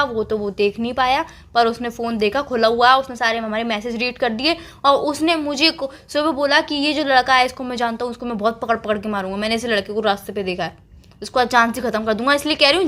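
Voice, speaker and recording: High, fast-talking young woman, urgent. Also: bright, forceful tone. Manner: urgent, insistent and dramatic. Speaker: girlfriend on a phone call. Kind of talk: staged couple phone call.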